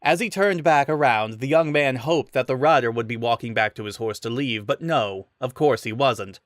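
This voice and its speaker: A man's voice: medium pitch, moderate pace, no room sound, studio quality. A man giving a dramatic reading of a fantasy story as narrator.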